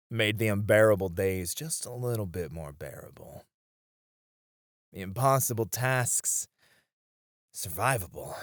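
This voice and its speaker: A low-voiced, battle-weary knight acted by a man speaks slowly and intimately, as in a romantic audio roleplay. He sounds tender, wistful and devoted, with hesitant phrasing, in a close, dry recording. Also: no room sound. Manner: soft, intimate, hesitant with small chuckles.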